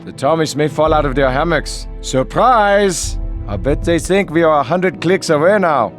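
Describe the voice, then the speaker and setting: An actor playing a brisk, wry military unit leader in a war game, speaking tersely. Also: male voice, on the high side, expressive, faint music behind, close to an everyday voice. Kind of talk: war game cutscene acting.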